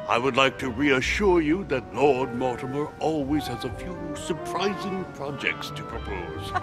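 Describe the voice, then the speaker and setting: Courtly old-world statesman, lively pitch, oratorical, gracious, formal, music underneath, game cutscene. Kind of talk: formal speech in a video game. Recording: music behind the voice.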